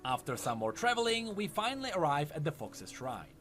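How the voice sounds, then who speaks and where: A male narrator with a fairly high voice and very animated intonation. He reads a scripted lore explainer as a storyteller, with music playing behind him. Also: fairly quick. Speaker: video essay narrator.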